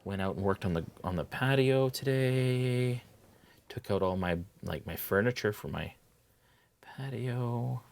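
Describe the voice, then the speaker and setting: A man hosting a live miniature painting tutorial, relaxed and chatty, thinking aloud as he paints. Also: mid-range voice, a little slow, vocal fry.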